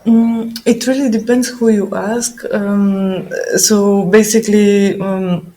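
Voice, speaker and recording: A woman's voice: mid-range voice, level tone, slow, warm tone. A young woman from Bulgaria being interviewed on a podcast, speaking with many fillers and restarts and laughing easily. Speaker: podcast guest. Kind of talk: casual interview talk. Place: living in Germany, remote call.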